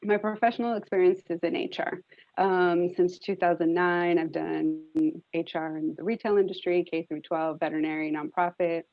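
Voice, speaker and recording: Heard through narrow, phone-like call audio with little room sound, a middle-aged American woman speaks in a slightly low voice. She is introducing herself informally at an online meeting. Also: fairly even intonation, normal speed, no room sound. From Rhode Island, United States. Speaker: task force member. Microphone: video call.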